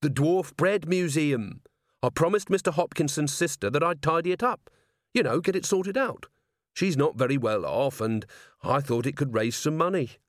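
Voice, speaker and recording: A man's voice: fairly high pitch, lively intonation, normal speed, dry recording, studio quality, pitched above the performer's own voice, lightly acted. A man playing a cheerful, innocent young male watchman, polite, guileless and enthusiastic, in a storybook reading. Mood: earnest.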